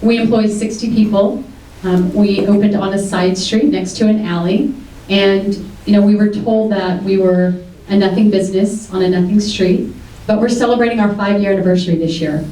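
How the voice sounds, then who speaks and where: Mellow-voiced woman, Greek first language. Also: mid-range voice, steady tone, moderate pace. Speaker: city commission candidate. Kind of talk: debate opening statement. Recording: echoey room, distant mic.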